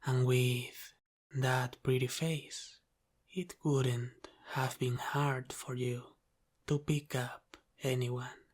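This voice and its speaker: A man playing a teasing, menacing mafia boss with an Italian-American accent in an ASMR roleplay, speaking deliberately and sometimes dropping into a whisper. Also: mid-range voice, level tone. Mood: teasing, probing. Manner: slow, deliberate, teasing, with breathy sighs and whispered phrases.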